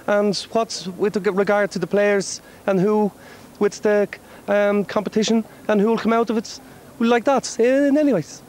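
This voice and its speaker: A man's voice: high-pitched, darkish tone, slight background noise. A man doing a comic street report out of doors, rambling and losing the thread of his question. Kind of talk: mock vox-pop reporting. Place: outdoors on a city street.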